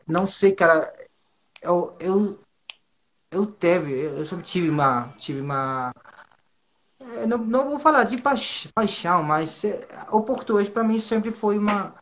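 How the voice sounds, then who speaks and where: A man's voice: high voice, a bit bright. A man from northern Mexico, a native Spanish speaker with a Mexican accent, talks casually as an interview guest, nervous and hesitant, often repeating himself. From Monterrey, northern Mexico. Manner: hesitant, halting, with frequent repetitions and slangy tags. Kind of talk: casual informal chat.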